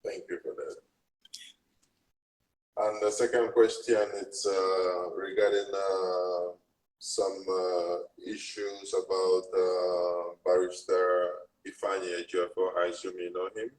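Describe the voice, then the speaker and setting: A man interviewing a guest, asking questions haltingly with frequent filler pauses. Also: low voice, level tone, far from the mic, poor audio. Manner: hesitant, many filler pauses.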